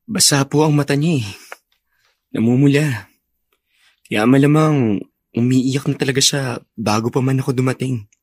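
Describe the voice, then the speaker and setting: A man playing an earnest, respectful young officer in a Filipino radio drama, polite and gentle, with a Filipino accent. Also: medium pitch, fairly even intonation, normal speed, long pauses, no echo, lightly acted. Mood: earnest, concerned. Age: young adult.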